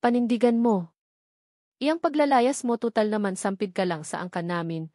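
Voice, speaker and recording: A woman's voice: medium pitch, normal speed, a little mellow, dry recording, studio quality, close to an everyday voice. A woman voicing a spiteful, contemptuous aunt in an audiobook, with a harsh, taunting, blunt manner. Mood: scornful. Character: spiteful, contemptuous aunt, scolding and insulting her niece.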